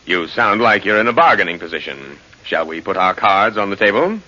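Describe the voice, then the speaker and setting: An actor playing a smooth, menacing corrupt senator in a 1940s radio drama, speaking in a courtly, unhurried, wry way. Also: male voice, low voice, very animated, sharp tone, exaggerated voice. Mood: suave, measured. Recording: noisy muffled 1940s radio recording.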